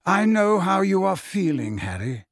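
Guy doing a kindly old wizard headmaster, slow n calm, grave, audiobook. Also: on the high side, creaky, no echo, studio quality, pitched above the performer's own voice, heavily acted. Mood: gentle, grave. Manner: calm, quiet, measured. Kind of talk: audiobook character voice.

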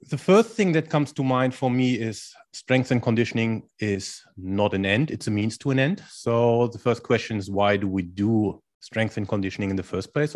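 A man in his forties from Germany with a German accent, answering interview questions in English as a coach in a calm, explanatory way. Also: on the low side. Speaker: guest, strength and conditioning coach.

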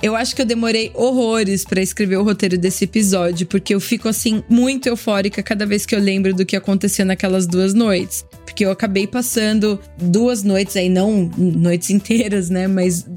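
A woman's voice: mid-range voice, fairly even intonation, moderate pace, few pauses, soft background music. A Brazilian woman hosting a storytelling podcast, chatty and enthusiastic, talking straight to her listeners. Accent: Brazilian Portuguese. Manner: chatty, addresses listeners directly, warm and enthusiastic. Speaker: podcast host.

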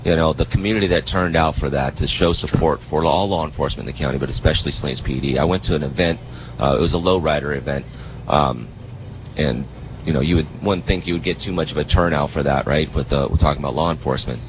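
Very deep, quick-talking man. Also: low-quality recording. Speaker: political candidate for sheriff, guest. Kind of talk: casual radio interview. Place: live on location radio broadcast. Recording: clear background noise, live location radio.

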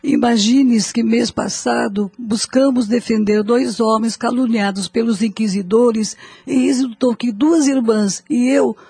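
An actress playing an elderly, compassionate mother superior in a radio soap opera, husky and creaky, solemn and consoling in formal speech. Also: a little high, fairly even intonation, unhurried, few pauses, mellow, dry recording, full character voice. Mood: sorrowful.

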